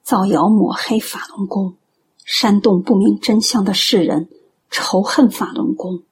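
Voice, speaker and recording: An actress playing a gentle, middle-aged believer in a radio drama, earnestly trying to persuade. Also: female voice, medium pitch, measured pace, a little hiss or hum, close to an everyday voice. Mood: earnest persuasion.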